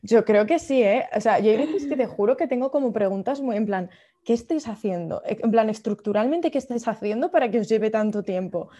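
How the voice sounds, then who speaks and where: A woman's voice: fairly high pitch, expressive, brisk, dark tone. A young woman from Madrid with a Madrid Spanish accent, hosting a casual podcast chat and telling stories with frequent laughter. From Madrid, Spain. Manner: chatty, laughs often, storytelling.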